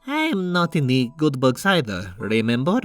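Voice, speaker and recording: A man playing a reassuring, easygoing older-brother plumber in an animated comic dub, talking casually with a teasing streak. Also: medium pitch, moderate pace, soft background music. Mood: encouraging.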